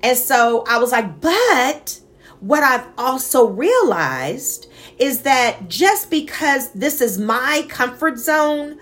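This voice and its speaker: Passionate high-voiced woman. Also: very animated, slow, fairly bright tone. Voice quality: naturally high-pitched voice. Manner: passionate, earnest, laughs between points. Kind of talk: reflective personal monologue.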